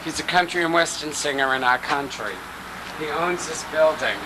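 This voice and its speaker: A man leads a mock tour along a night street, teasing and deadpan, his voice a touch high for a man. The old camcorder audio carries a clear hiss and street noise underneath. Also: moderate pace. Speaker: comic home-video host. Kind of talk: joking walking tour on camcorder. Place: outdoors on a town street at night. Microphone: camcorder mic. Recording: noisy 1990s camcorder audio.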